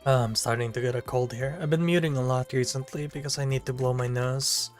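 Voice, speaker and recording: A man's voice: medium pitch, steady tone, fairly quick, faint music behind. A man with a stuffy, congested nose reading a visual novel aloud on a stream and chatting casually between lines. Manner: casual, sighing asides. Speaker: VTuber streamer.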